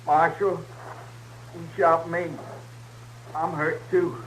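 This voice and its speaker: Slow-witted, childlike Western outlaw. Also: male voice, fairly high pitch, little pitch movement, a bit bright. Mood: wounded, childlike. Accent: American Western drawl. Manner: slow, halting, plaintive rural speech. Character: simple-minded, childlike young outlaw, beaten and trusting. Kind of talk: old-time Western radio drama acting. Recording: noisy vintage radio recording.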